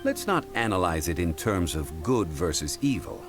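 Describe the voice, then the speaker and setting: A man voicing a composed, learned gentleman in a video game, speaking formally and deliberately with quiet authority. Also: deep voice, very animated, over music. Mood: measured, reasoning. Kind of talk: video game dialogue acting.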